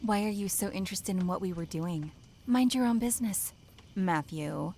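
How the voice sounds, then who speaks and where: A woman's voice: medium pitch, moderate pace, darkish tone, a little hiss or hum, close to an everyday voice. A woman playing a sassy, teasing young female camp counselor in an audio drama, with a flippant, playful manner.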